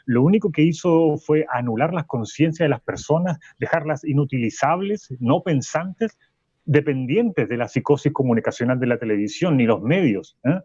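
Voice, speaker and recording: A man's voice: fairly high pitch, lively intonation, moderate pace, warm tone, slightly rough, no echo, lo-fi sound. A man playing an indignant, didactic philosophy professor in a radio play, insistent, repeating his phrases and interrupting. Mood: insistent, challenging. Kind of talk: Spanish-language radio play as live debate.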